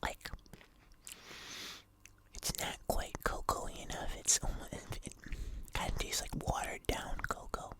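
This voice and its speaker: An ASMR creator whispering softly and unhurriedly in a breathy, unvoiced voice, chatting casually close to the microphone during a food tasting. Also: measured pace.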